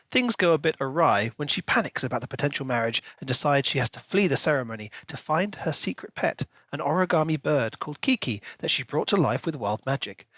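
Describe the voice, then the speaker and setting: A man's voice: mid-range voice, fairly bright tone, slightly rough, dry recording. A man co-hosting a book podcast, speaking in a conversational way close to the microphone. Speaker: podcast co-host. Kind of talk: casual book discussion with prepared recap.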